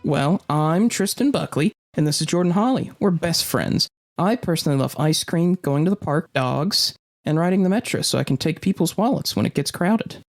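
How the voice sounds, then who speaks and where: A man's voice: a little high, animated, moderate pace, faint music behind. A man playing a talkative, upbeat young drifter and loyal best friend in a post-apocalyptic audio drama, cheeky and earnest. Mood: cheerful.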